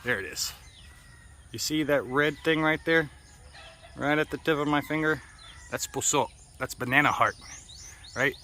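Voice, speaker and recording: A man's voice: fairly high pitch, pushed and bright. An American man rambling casually for a vlog, offhand and freely swearing, recorded outdoors in a yard. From United States. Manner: profane, offhand. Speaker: vlogger. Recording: noisy outdoor recording with birds and roosters. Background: birds, roosters and outdoor ambience.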